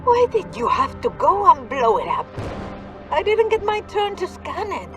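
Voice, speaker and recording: Sly taunting mercenary, condescending, very varied intonation, video game drama, music behind. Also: female voice, very high-pitched, wildly swooping pitch, moderate pace, exaggerated voice. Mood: mocking. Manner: teasing, condescending, peppers speech with endearments. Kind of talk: video game cutscene acting.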